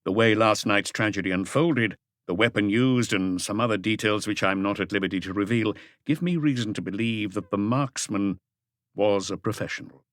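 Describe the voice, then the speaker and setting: A male narrator plays a patient, methodical detective in an audiobook mystery. The voice is on the low side, formal and deliberate, with a probing firmness. It sounds dry and close. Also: fairly bright tone, no room sound, close to an everyday voice. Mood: measured, firm. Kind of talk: audiobook character dialogue.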